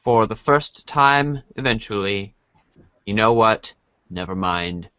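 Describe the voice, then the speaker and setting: Slow-reading man in an echoey room. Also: fairly low pitch, animated, sharp tone, clear, resonant tone, lo-fi sound. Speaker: reader in a webcomic reading podcast. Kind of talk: reading second-person comic narration aloud.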